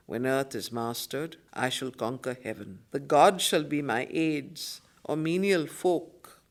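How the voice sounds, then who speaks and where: Indian lady teacher reading out poetry and explaining it, deliberate and emphatic, repeats phrases. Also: lowish voice. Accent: Indian English. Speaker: study circle facilitator. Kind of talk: slow poetry recitation with spoken commentary.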